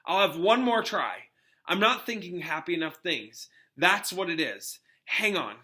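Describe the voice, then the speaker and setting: A man voicing a teenage wizard boy, earnest and shaken but determined, with a halting manner, in a storybook reading. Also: high voice, very animated, pushed and bright, voice pushed up, heavily acted. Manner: halting, stammering when upset.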